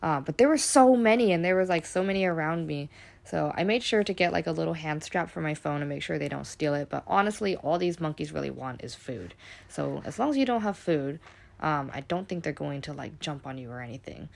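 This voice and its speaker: Vlogger, young American woman, deep with vocal fry, chatty and unfiltered, swears freely. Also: lively intonation, fairly quick. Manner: chatty, rambling, heavy use of "like", casual swearing. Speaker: travel vlogger. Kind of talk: casual travel vlog voice-over.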